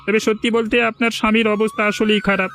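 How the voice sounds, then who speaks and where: A man's voice: high-pitched, level tone, quick pace, fairly bright tone. A man playing a courteous, firm village doctor in a cartoon, giving reassuring advice. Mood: advising, reassuring. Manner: advising, courteous. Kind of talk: cartoon dialogue acting.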